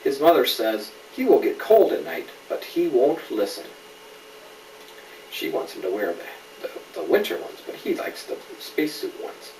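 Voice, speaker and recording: Casting: man as a dad storyteller, lively swooping intonation, patient asides, recorded from across a roomy space. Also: a little high, moderate pace, creaky. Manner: explains patiently, asks the child questions. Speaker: father reading a story to a child. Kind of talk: read-aloud picture book storytelling with casual asides. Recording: roomy, distant mic, faint background noise.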